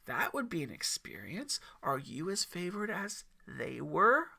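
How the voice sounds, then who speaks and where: A man's voice: high-pitched, big pitch swings, a little slow, vocal fry, sharp tone, breathy edge, pitched above the performer's own voice, heavily acted. A man voicing the devil Lucifer as a scornful, theatrical tempter in an audiobook story, mocking and drawing out his emphasis. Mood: goading.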